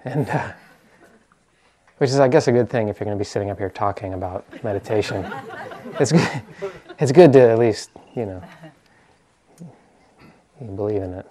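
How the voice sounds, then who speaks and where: Male meditation teacher, American, lowish voice, informal talk to a group, hesitant and searching for words. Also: normal speed, pauses often, mellow. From US East Coast.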